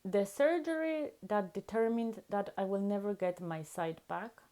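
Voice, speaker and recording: Slow, mellow-voiced woman with a Polish accent. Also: medium pitch. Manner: slow, earnest, emphatic with repeated words. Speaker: vlogger. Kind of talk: reflective talk to camera.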